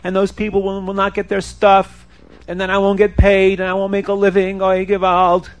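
A man voicing a frantic travelling buyer in a story, pleading and yelling as he repeats himself. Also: high-pitched, monotone, normal speed, natural voice. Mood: despairing. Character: frantic, wronged travelling buyer, shrill accuser.

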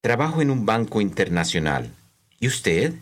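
A man reading scripted language-course dialogue, enunciating slowly and carefully for learners. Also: lowish voice, very animated.

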